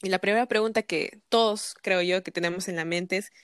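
A young woman hosting a casual podcast interview, chatty and quick to laugh, with lots of fillers. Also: medium pitch, steady tone, smooth, no room sound, studio quality. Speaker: podcast host.

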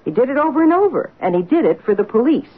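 An actress playing a devoted, wry detective's wife in her fifties in an old-time radio drama, chatty and expressive. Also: a little high, quick pace, breathy edge. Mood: eager, earnest. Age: 50s. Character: devoted, wry middle-aged detective's wife, keen amateur graphologist. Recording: muffled, band-limited radio drama recording.

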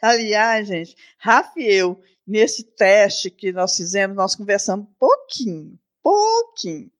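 A woman's voice: mid-range voice, very animated, slow. A woman in her sixties hosting her own podcast alone, talking in a chatty, affectionate way that wanders into asides. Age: 60s. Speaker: podcast host. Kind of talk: casual solo podcast chat.

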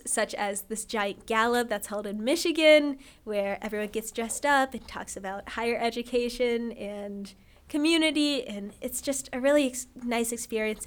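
A teenage girl from Ohio, a high school senior, chatting enthusiastically as a podcast guest. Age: teens. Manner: enthusiastic, upbeat. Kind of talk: casual interview chat.

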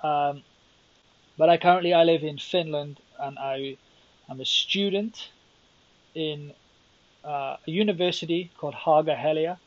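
A man's voice: fairly high pitch, unhurried, pauses often, dry recording, muffled recording. A young Welsh man hosting a solo podcast, thinking aloud and rambling with self-deprecating asides. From Wales. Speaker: podcast host.

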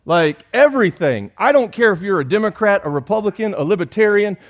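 Folksy pastor preaching. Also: male voice, high-pitched, lively intonation, fairly quick. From Georgia. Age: 40s. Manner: folksy, joking, swings from loud to soft. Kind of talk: sermon.

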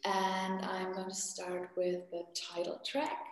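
A woman's voice: medium pitch, completely flat delivery, takes it slowly. A woman from Germany with a German accent, a singer-songwriter casually introducing her acoustic set. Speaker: indie folk singer-songwriter, guest performer. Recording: very echoey, long reverb, music.

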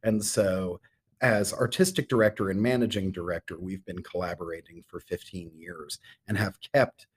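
A middle-aged man answering interview questions as a guest, measured and given to emphatic repetition. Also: low voice, fairly even intonation, husky. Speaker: interview guest, theater artistic director.

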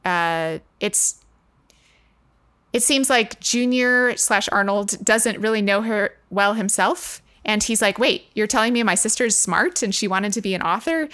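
A woman's voice: medium pitch, unhurried, a bit bright. A woman co-hosting a book discussion in a casual, conversational style.

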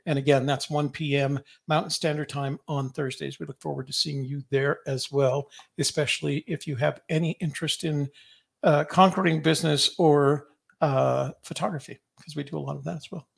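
Male co-host, slightly higher voice, gravelly, easygoing and joking. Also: steady tone, moderate pace. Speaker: co-host of a live show. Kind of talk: casual show hosting.

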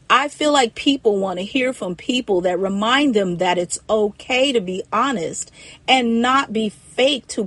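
A woman's voice: mid-range voice, no echo. A woman storyteller delivering a prepared personal monologue. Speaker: featured storyteller.

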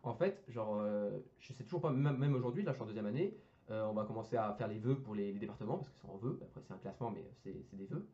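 Fast-talking young man, hesitant and slangy. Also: fairly low pitch, mellow, dull audio. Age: young adult. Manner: hesitant, many fillers and restarts, slangy. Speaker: engineering student guest. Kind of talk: casual podcast chat.

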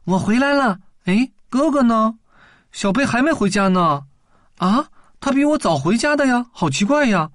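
A man doing a squeaky little girl ant. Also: expressive, moderate pace, dry recording, clean studio sound. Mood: excited.

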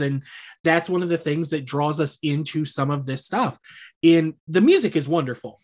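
A podcast co-host chatting casually about musical theater, hedging and qualifying each point. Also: medium pitch. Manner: hedging, rambling, qualifies every claim.

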